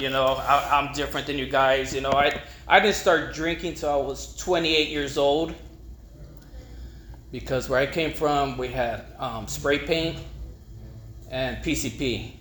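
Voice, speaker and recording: A middle-aged man gives an informal personal testimony, rambling and haltingly repeating words. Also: on the high side, a bit bright. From East Los Angeles, United States. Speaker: speaker at a recovery meeting. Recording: echoey room with faint noise.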